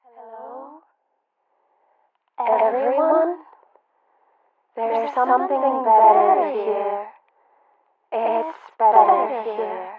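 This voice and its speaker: A woman playing an eerie dead woman calling from beyond in halting fragments, for a live radio drama. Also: a little high, narrow, tinny audio. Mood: haunting, beckoning. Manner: halting, fragmented, drawn-out phrases. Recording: loud radio-like noise over the voice.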